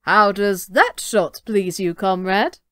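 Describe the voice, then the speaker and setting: A woman voicing a boastful, quick-witted little male tailor. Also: mid-range voice, clear, resonant tone, no echo, clean studio sound. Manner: cocky, bright, quick-witted.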